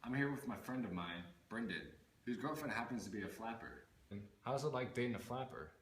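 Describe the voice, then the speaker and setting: A man's voice: medium pitch, lively intonation, fairly quick, fairly bright tone, slight background noise. A man reading a scripted mock news report as the anchor, with small stumbles in his reading. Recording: roomy echo, music underneath.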